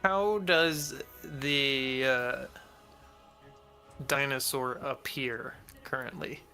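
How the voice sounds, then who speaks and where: A man with a bright, forward voice talks casually through a tabletop game. He sounds hesitant, often working out his move aloud, with faint music underneath. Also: mid-range voice, fairly even intonation, very slow. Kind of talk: casual chat during an online tabletop role-playing game.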